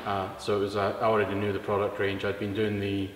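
A Scottish man in his thirties, a guest explaining things in a relaxed, matter-of-fact way in a casual interview. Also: lowish voice, quick pace. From Scotland. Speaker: guest, product distributor. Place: large indoor car showroom. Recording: echoey hall, faint room noise.

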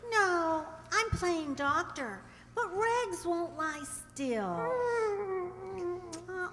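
A woman's voice: extremely high pitch, big pitch swings, very slow, fairly bright tone, heavily acted. A woman playing an innocent, chirpy ten-year-old farm girl in an old-time radio drama, childlike and guileless, on a hall stage. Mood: innocent, curious. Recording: echoey hall stage recording.

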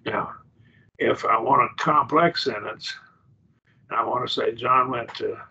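A man with an Oklahoma accent teaching a Greek grammar class over a video call, explaining in halting restarts and checking that students follow. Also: medium pitch, creaky, bright, forceful tone, a little hiss or hum. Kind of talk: online class lecture. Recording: dull lo-fi video call audio.

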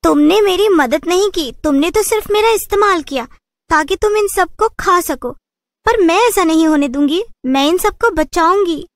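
Woman doing a very high-pitched earnest young heroine. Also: normal speed, dry recording. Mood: defiant. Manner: high, emphatic, protesting. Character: earnest, brave young village bangle-seller woman.

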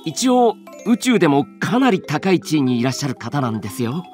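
A man's voice: a little high, very animated, fairly quick, darkish tone. A man playing a polite, earnest young warrior in a Japanese anime game, formal and respectful, easily flustered. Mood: wry. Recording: music behind the voice.

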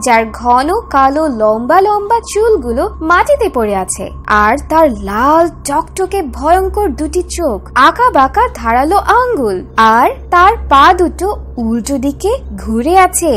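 A woman's voice: high-pitched, very animated, measured pace, hardly any pauses, a bit bright, slight background noise. A woman narrating a scripted fairy tale in a dramatic storytelling style.

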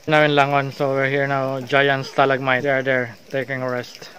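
A man's voice: mid-range voice, completely flat delivery, moderate pace, fairly bright tone. A man with a Filipino accent guiding a cave tour, narrating and coaxing climbers along in mixed English and Tagalog. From Philippines. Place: inside a large cave.

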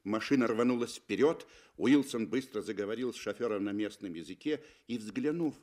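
A man with a fairly low voice reads literary prose as a 1960s radio narrator. He is deliberate and expressive, leaving dramatic pauses between phrases.

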